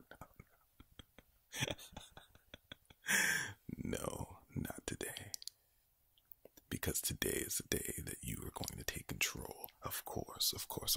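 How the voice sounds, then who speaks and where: A man doing ASMR in a low, breathy whisper, giving a soothing pep talk with repeated phrases and a villain-like, menacing tone. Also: pushed and bright, dry recording. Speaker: ASMR video creator.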